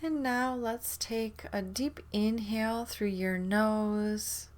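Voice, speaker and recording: A woman leading a guided meditation in a gentle, soothing manner, leaving long pauses between phrases. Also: mid-range voice, fairly even intonation.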